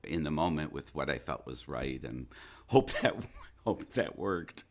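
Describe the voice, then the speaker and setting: A man talking reflectively as an interview guest, an actor who pauses and searches thoughtfully for his words. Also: very deep voice, expressive. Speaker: interview guest, stage and radio-play actor.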